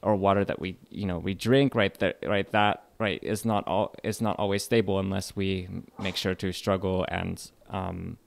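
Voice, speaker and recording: A man's voice: low voice, steady tone, moderate pace, vocal fry, few pauses. A young man from California, a literature lecturer, talking casually in a radio interview, hesitant, with many fillers and restarts. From California, United States.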